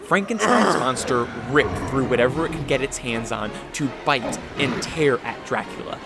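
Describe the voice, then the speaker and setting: A man's voice: medium pitch, animated, moderate pace, creaky, loud music behind the voice. A man narrating a radio drama in a solemn, dramatic storyteller's style.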